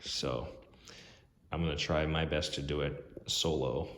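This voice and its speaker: Very deep-voiced man in an echoey garage. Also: steady tone, a bit bright, a little hiss or hum. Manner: halting, lots of ums and restarts. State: hoarse, losing his voice. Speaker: DIY garage vlogger. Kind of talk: casual rambling walkthrough. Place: home garage. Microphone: handheld phone camera.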